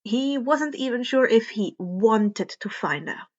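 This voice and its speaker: A woman reads fan fiction aloud as expressive narration, now and then stumbling over a word. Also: on the high side, slow, highs cut off. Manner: occasional stumbles over words. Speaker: podfic reader.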